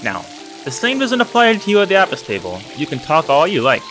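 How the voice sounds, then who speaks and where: A man plays a kindly old monk from a narrative game. He is fatherly and humble, speaking in a measured, reassuring way over clearly audible music. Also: on the high side, wildly swooping pitch, fairly bright tone, voice pushed up. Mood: friendly. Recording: music under the voice.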